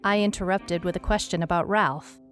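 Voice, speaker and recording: A woman playing a wry, world-weary private detective, narrating her case log in a dry, deadpan noir style. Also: fairly low pitch, normal speed, faint music behind, close to an everyday voice. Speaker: narrator, lead actor.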